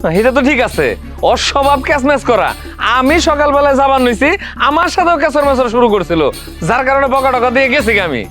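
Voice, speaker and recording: Stern, doubting young husband, speech, high voice, played by a man. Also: animated, fast talker, a bit bright, soft background music. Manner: scolding, stern. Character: doubtful young village husband, quick to scold. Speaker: husband, son.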